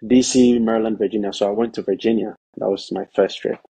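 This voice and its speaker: Low, gravelly-voiced man on a video call. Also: fairly even intonation, dark tone. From Ghana. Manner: measured, with frequent "you know" and "um" fillers. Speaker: guest, professional soccer player. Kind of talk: casual interview talk. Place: indoors, bright room at home. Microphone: video call, laptop or phone camera.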